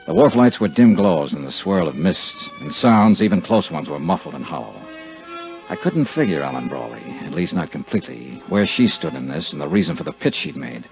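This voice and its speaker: Low-voiced hardboiled radio detective. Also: male voice, very animated, a little fast, creaky, music in the background, heavily acted. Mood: tense narration. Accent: American. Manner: clipped, wry, hardboiled. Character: shrewd, wry, hardboiled male insurance investigator. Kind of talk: 1950s radio detective drama acting and narration. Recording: muffled, noisy 1950s radio recording.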